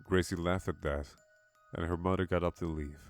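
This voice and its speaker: A man narrating a scripted horror story in a measured, dramatic manner. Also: deep voice, steady tone. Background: background music.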